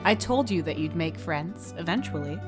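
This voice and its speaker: An approving woman schoolteacher in a narrated story, voiced by a narrator. She speaks in a measured, encouraging way, and music runs behind her. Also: fairly low pitch, expressive, close to an everyday voice. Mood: gently encouraging.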